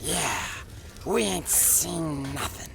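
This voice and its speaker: A dim, menacing henchman with a creaky yet sharp-edged voice. The scaly yes-man drags each word very slowly, as in a hardboiled detective radio play. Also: medium pitch, expressive, full character voice. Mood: quiet menace. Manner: slow, echoing yes-man. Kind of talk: noir audio drama acting.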